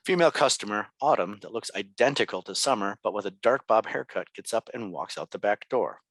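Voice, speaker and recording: A man's voice: lowish voice, lively intonation, a little fast, fairly bright tone, no room sound. A man reading out scene descriptions as the narrator of a script. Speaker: stage directions narrator.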